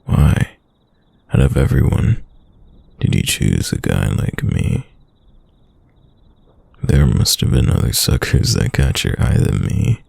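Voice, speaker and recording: Devoted boyfriend for late-night roleplay ASMR, acted by a man: extremely deep, heavy vocal fry, tender murmur. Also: wildly swooping pitch, takes it slowly, pauses often, darkish tone, no echo, full character voice. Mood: musing. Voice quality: deep, gravelly, breathy. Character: devoted soft-spoken boyfriend whispering to his sleeping lover.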